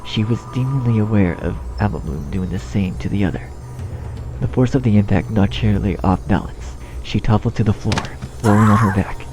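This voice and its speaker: A man's voice: on the low side, rough recording. A man reading a scripted story as narrator, in a dramatic storytelling style. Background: music.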